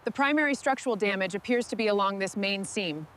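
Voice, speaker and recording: A woman leading a restoration crew, giving brisk, clipped instructions. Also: mid-range voice, lively intonation, a bit bright, faint music behind, poor audio. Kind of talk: scripted work commands.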